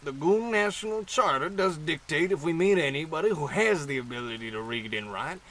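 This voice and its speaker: A man's voice: a little high, lively intonation, a little fast, a bit bright. A man playing a cocky, wisecracking hired goon with a blustery tough-guy manner in an old-time radio comedy. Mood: civil, menacing.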